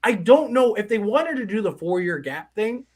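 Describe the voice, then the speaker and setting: Casting note: thirtyish man with a high voice, animated live-stream co-host, rambling and restarting. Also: moderate pace. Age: 30s. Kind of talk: live-stream horror movie chat.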